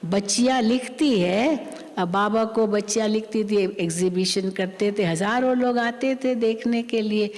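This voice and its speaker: A woman spiritual teacher giving a sermon, explaining a scripture reading slowly and repeating her points. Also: medium pitch, a little mellow. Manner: slow, repetitive, explanatory. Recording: long hall reverb.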